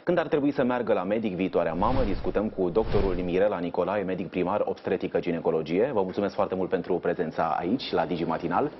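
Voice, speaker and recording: A man's voice: low-pitched, hardly any pauses. A man in his thirties presenting a live morning news show in a studio, in a polished, formal announcer manner. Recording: dull, slightly noisy broadcast audio.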